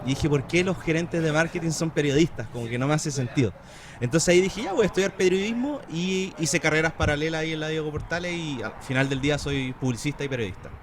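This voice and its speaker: A man in his thirties from Chile with a Chilean Spanish accent, chatting casually as a podcast guest, relaxed and full of fillers and slang. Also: on the high side, fast talker, slight background noise. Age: 30s. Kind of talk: casual conversation.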